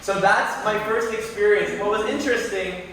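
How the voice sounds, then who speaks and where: A man's voice: high voice, normal speed, a bit bright. A man speaking as a guest in a university classroom, chatty and rambling, often asking students questions. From United States, near New York City. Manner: chatty, rambling, many fillers and self-corrections. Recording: echoey room, distant mic, faint noise.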